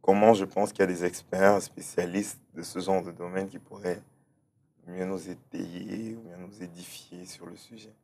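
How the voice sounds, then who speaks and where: A man's voice: low-pitched, level tone, moderate pace, dark tone, slight background noise. A man from Cameroon answering interview questions, hesitant and often repeating words as he thinks aloud. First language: German-speaking background. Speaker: interview guest, start-up founder.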